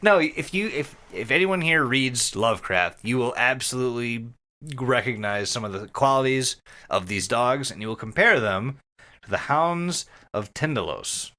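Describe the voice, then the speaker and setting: Animated man, bright voice, casual podcaster. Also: mid-range voice, normal speed. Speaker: podcast co-host. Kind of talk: casual podcast talk with read-out descriptions.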